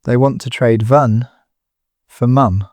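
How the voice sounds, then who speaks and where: An actor playing an anguished, desperate husband pleading with raw emotion in an audio drama. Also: male voice, medium pitch, fairly even intonation, takes it slowly, darkish tone, dry recording, studio quality, natural voice. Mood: shaken disbelief. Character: anguished, desperate husband whose mother is kidnapped.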